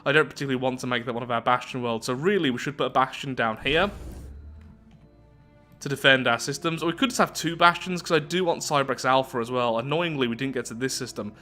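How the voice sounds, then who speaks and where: A man's voice: medium pitch, fast talker, bright, forceful tone, soft background music. A man giving casual commentary on a strategy game playthrough, thinking aloud as he goes. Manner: thinking aloud, musing to himself.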